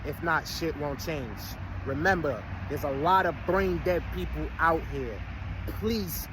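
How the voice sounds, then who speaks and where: Late 30s guy going off about stuff, streetwise slang, kinda high voice, cars going by loud in the back. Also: unhurried. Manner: rambling, streetwise slang, preachy asides. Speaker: vlogger. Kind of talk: casual monologue to followers. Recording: outdoor street noise, vehicles passing.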